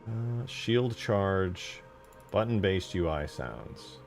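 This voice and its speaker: Deep-voiced man chatting casually over music. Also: animated, very slow. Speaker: game modder streaming his work. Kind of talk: casual livestream chat while working. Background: background music.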